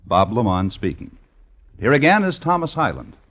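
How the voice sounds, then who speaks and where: A man's voice: medium pitch, sing-song, normal speed, a bit bright. A man reading scripted announcements in a 1950s radio style. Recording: dull vintage radio recording with music. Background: music.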